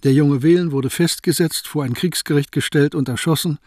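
A man narrating a radio play in a steady storytelling manner. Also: a little high, a little fast, dry recording, clean studio sound. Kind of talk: scripted radio drama narration.

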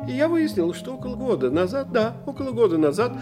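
Animated, theatrical older professor. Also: male voice, very high-pitched, fast, over music. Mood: genial, gossipy. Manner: didactic, theatrical, condescending to his young friend. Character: animated, learned older professor investigating psychic phenomena. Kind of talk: audio drama acting.

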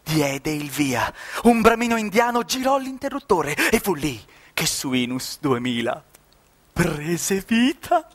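A man's voice: fairly high pitch, sing-song, slow, fairly bright tone. An actor, a man, narrating satirical radio theatre with heightened, emphatic delivery.

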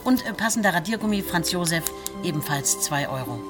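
An actress playing an eager female souvenir seller, pitching products in a radio play. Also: expressive, brisk, music in the background, close to an everyday voice. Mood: salesy. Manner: salesy, listing products and prices. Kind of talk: shopping presentation in a radio play.

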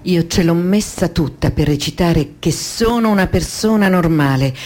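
A woman's voice: on the low side, normal speed, darkish tone, lightly acted. An actress playing an alienated, tormented thirty-year-old woman in an intense radio drama monologue of short sentences. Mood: strained, detached.

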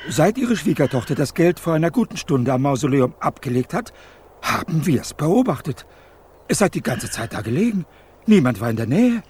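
An actor playing a deferential, hesitant hired detective in a radio crime play, speaking with formal courtesy. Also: male voice, a little high, very animated, normal speed, darkish tone, slightly rough, exaggerated voice. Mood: flustered, apologetic. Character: deferential, flustered male hired detective serving a rich lady.

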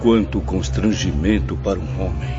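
A man's voice: sits low, normal speed, close to an everyday voice. An actor with a Brazilian accent playing an earnest, puzzled male newcomer spirit in a radio drama. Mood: puzzled, uneasy. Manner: wondering, earnest, formal. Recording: dull lo-fi audio under loud music.

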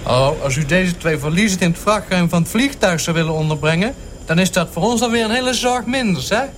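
An actor playing a pompous, grumbling and demanding airline passenger in a radio play. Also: male voice, sits high, animated, fairly quick, a little hiss or hum. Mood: self-satisfied.

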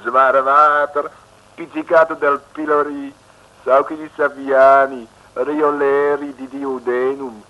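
An actor playing a fawning, florid Italian waiter with a mock Italian accent in an old radio comedy. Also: male voice, medium pitch, lo-fi sound, natural voice. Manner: flattering, florid, drawn-out delivery. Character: obsequious, florid Italian male restaurant waiter. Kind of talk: 1950s Dutch comic radio serial acting.

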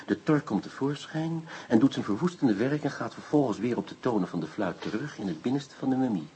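A man playing an excitable, bossy police inspector in a radio drama, blustering and commanding. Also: mid-range voice, fairly quick, a little hiss or hum, lightly acted. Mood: brisk, musing. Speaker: actor in a radio play. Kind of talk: Dutch radio drama acting.

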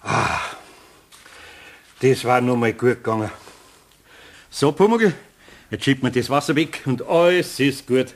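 A man playing a good-natured older craftsman in a children's radio play, with a husky voice, a Bavarian accent and a fussing, coaxing, easily flustered manner. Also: medium pitch, lively intonation, a little slow, long pauses. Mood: relieved, kindly. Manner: flustered, coaxing, repeating little phrases.

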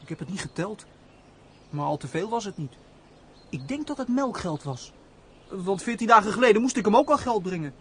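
A man's voice: high-pitched, lively intonation, brisk, long pauses, sharp tone, a little hiss or hum. An actor playing a naive, chatty young man, a steward's simple son, in a radio play.

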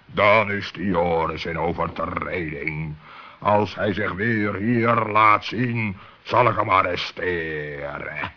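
An actor playing a huge, gruff polar bear customs officer in a children's radio play, growling and curt. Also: male voice, low voice, heavily acted. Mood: stern. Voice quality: growling, gravelly. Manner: curt, authoritative, slow. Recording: dull old radio play recording.

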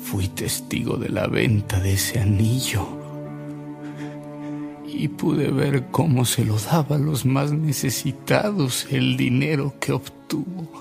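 Gravelly, slow-spoken elderly gentleman. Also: mid-range voice, music in the background. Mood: reverent reminiscing. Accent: Mexican. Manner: courteous, reverent, unhurried storytelling. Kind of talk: Spanish-language radio drama acting.

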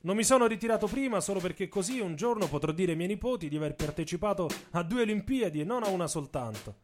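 A man's voice: sits high, animated, fast, faint music behind. A man voicing an urgent, insistent male athlete in a radio theatre story. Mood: earnest. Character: insistent, excuse-making male Italian sprinter at the blocks.

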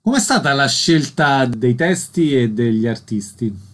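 Male radio interviewer, medium-pitched voice. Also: expressive, measured pace. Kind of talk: scripted interview questions and announcements.

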